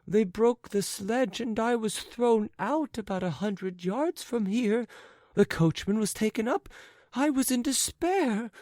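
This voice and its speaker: A woman's voice: a little high, a little slow, dark tone, dry recording, clean studio sound, natural voice. A woman voicing a flighty, chattering society lady with breathless, fluttery speech. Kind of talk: audiobook character voice.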